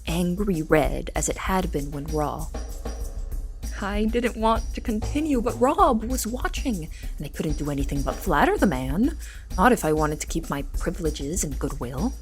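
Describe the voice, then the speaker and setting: A woman playing a wry young cook who narrates her kitchen life in an expressive, storytelling way for an audio drama. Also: on the low side, normal speed. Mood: wry, reflective. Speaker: narrator and lead character. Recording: music behind the voice.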